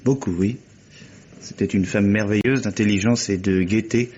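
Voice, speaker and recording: A man playing a composed, bereaved nephew in a French radio mystery play, speaking in a measured, polite, articulate way. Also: on the low side, darkish tone, natural voice. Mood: subdued, wistful. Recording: dull lo-fi radio recording.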